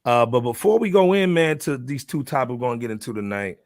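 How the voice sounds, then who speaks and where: Man, podcast host, casual panel chat, slangy, informal, mid-pitched, dry sound. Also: sing-song, fast, a little mellow, dry recording.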